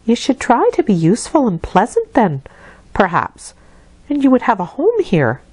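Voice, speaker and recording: A woman voicing a brisk, firm nursemaid in a storybook, admonishing a child. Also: a little high, wildly swooping pitch, darkish tone, husky, no echo, voice pushed up, heavily acted, rough recording.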